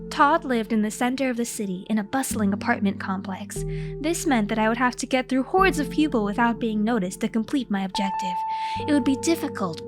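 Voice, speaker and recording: Brief: female storyteller, high voice, mock-serious comic diary read, theatrical, music underneath. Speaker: storyteller, content creator. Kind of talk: scripted first-person comic diary narration.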